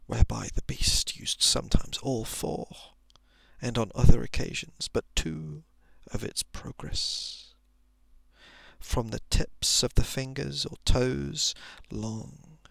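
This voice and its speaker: A man softly reading a horror story in ASMR style, often slipping into a whisper, with deliberate dramatic pauses, close to the microphone. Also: mid-range voice, dry recording. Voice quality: soft, breathy, often dropping into whisper.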